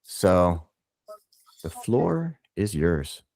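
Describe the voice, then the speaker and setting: A man's voice: deep voice, sing-song, extremely slow, gravelly, a little mellow, dry recording, studio quality. Session host, male, easygoing guiding delivery over a video call. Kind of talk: casual business video call.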